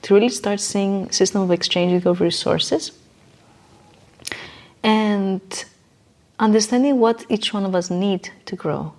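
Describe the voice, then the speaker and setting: A woman's voice: medium pitch, unhurried, long pauses, a little mellow. A woman guest in an interview, explaining her ideas conversationally in emphatic, short declarative phrases and repeating her key points.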